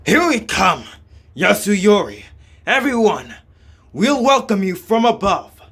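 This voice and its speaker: Man voicing an urgent, high-pitched warrior soldier. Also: very animated, slow pace, a bit bright, breathy edge, heavily acted. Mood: bold. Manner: urgent, commanding. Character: alert, urgent warrior soldier in a fantasy game.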